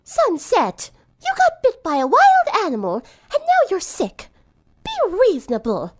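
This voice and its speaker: Story role: gentle, fretful teen girl, played by a woman, high voice, anxious and caring. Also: wildly swooping pitch, measured pace, full character voice. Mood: exasperated concern. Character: worried, gentle teenage girl pleading with a sick friend.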